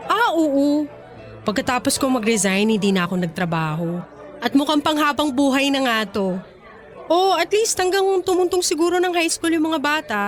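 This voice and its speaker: An actress playing a married housewife and mother who is expressive and probing with her friend, in radio drama dialogue. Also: sits high, normal speed, soft background music, some chatter behind. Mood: friendly, modest.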